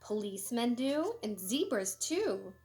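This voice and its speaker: A woman reading a children's picture book aloud in an expressive, sing-song way, with short asides to young listeners. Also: on the high side. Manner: expressive, sing-song, deliberate storybook delivery. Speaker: elementary school educator reading aloud.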